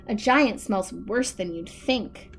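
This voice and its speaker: A woman voicing an excitable, nervous young boy in a storybook, exclaiming breathlessly. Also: medium pitch, slow pace, gravelly, a little hiss or hum. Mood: puzzled.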